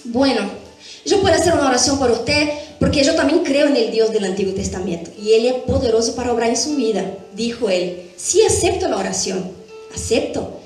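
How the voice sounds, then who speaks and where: A woman giving church Bible teaching, explaining step by step and checking in with short tag questions. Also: sits high. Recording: very echoey room, faint music behind.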